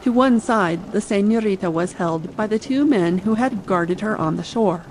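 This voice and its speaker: A woman's voice: mid-range voice, moderate pace, a little mellow, poor audio. A woman narrating a dramatic audiobook reading meant for late-night listening, over sea ambience. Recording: faint ambient sea noise behind.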